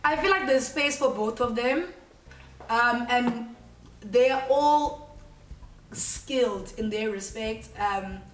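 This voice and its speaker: A young South African woman talks in a bubbly, outgoing way as an interview guest, laughing easily. Her voice sits a little high. She is recorded in an echoey room, some distance from the mic. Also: takes it slowly, a little hiss or hum. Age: 20s. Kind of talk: casual interview chat.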